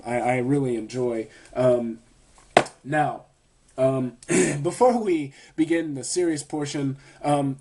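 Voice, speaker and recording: A man's voice: mid-range voice, warm tone. A man reviewing an anime episode in a casual video, talking with many fillers and restarts while a bit sick.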